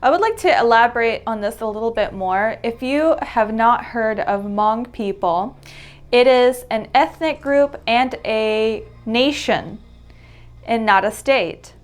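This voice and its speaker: A woman's voice: fairly high pitch, unhurried. Upbeat woman hosting a chatty vlog. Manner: upbeat, chatty, repeats words for emphasis. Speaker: vlog host and English teacher. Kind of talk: casual vlog talk to camera.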